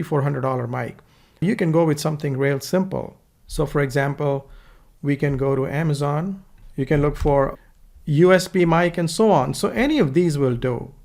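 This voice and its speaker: A man's voice: on the high side, gravelly, a little mellow. A man with an Indian accent teaching a screen-recorded course in a casual, instructive way, recorded on a USB desktop microphone. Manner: casual, instructive, dictates punctuation aloud.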